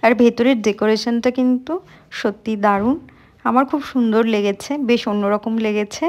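A woman's voice: on the high side, steady tone, normal speed, highs cut off. A young woman, narrating a casual food vlog and talking straight to her viewers. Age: young adult. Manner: chatty, talks straight to viewers. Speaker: vlogger. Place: busy cafe, then a car.

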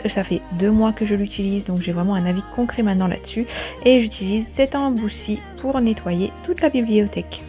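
A woman with a soft, mellow tone and a medium pitch narrates a casual vlog. She explains each step in a chatty, practical way. Light music plays behind her voice. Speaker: vlogger, host. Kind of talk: casual cleaning vlog voice-over. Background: soft background music.

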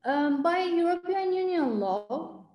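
A woman in her forties from Cyprus, whose first language is Turkish, speaking earnestly with many pauses as a panelist in an online talk over a video call. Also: very high voice, lively intonation, darkish tone, echoey room. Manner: earnest, measured, many ums. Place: home office before a red wall.

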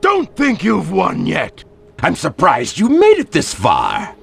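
A man's voice: high-pitched, huge pitch swings, slow, vocal fry, full character voice. A man voicing a grandiose, scheming evil genius in a video game, theatrical and gloating, with cartoon villain relish. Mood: furious, shouting. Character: bombastic, scheming middle-aged male evil genius villain. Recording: game voice clips over background music.

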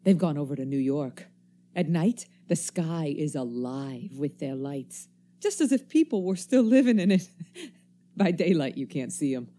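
A woman's voice: deep voice, animated, a little slow, warm tone. A woman acting a wary, embittered survivor in a radio play, with folksy, slangy, cynical speech. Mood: grim, resigned. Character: wary, embittered survivor stranger armed with a knife.

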